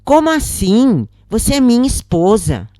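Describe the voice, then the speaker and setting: A woman's voice: on the high side, sing-song, unhurried, mellow, no room sound, studio quality, pitched above the performer's own voice. A woman voicing a heartbroken, bewildered, honest man in a dramatised story reading. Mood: bewildered, pleading.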